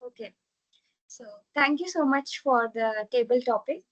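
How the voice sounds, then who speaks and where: A woman's voice: on the high side, measured pace. Woman, earnest, impromptu speech, video call, dull audio.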